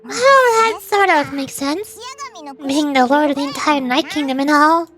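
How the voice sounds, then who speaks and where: A woman voicing a small, curious, excitable fairy companion in a video game, questioning in a childlike way. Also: high voice, unhurried, music in the background. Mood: puzzled, curious.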